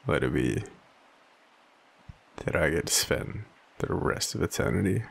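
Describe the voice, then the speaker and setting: An Australian man playing an overwhelmed, devoted, self-deprecating partner in an intimate audio roleplay, speaking haltingly with sighs. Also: very deep voice, lively intonation, creaky, husky, exaggerated voice. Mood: pleading, loving. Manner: halting, hesitant, sighing.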